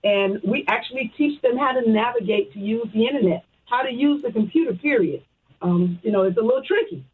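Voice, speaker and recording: A woman's voice: a little high, fairly quick, husky. A woman from Chicago with an American accent, answering conversationally as a phone-in guest on a radio interview. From Chicago, United States. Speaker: radio guest, social services program supervisor. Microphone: phone call. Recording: muffled, tinny phone line.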